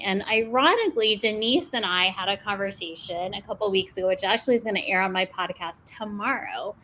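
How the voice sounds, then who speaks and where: A woman's voice: medium pitch, fairly bright tone, slight background noise, rough recording. A woman in her forties chatting on a panel over a video call, upbeat and laughing between thoughts. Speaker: panelist, podcast host. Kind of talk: casual panel chat.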